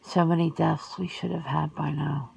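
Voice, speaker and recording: A woman playing a wistful, reserved vampire sister in an ASMR roleplay, speaking slowly and formally in a hushed voice. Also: deep voice, flat delivery, creaky, breathy edge, exaggerated voice, rough recording. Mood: mournful, hushed. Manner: slow, hushed, formal, measured. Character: wistful, reserved, long-lived vampire sister, lonely wanderer.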